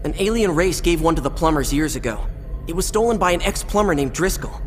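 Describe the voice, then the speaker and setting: An actor voicing a brave, wisecracking teenage boy hero in a video game, determined and quick with a joke. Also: fairly high pitch, lively intonation, lightly acted. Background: music.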